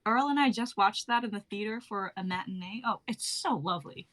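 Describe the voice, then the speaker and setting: A woman's voice: mid-range voice, fairly quick, fairly bright tone, lightly acted. A young woman playing a bubbly, teasing twenty-three-year-old wife from 1950 in a dramatic reading, breezy and old-fashioned in her phrasing. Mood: playful, warm. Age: young adult.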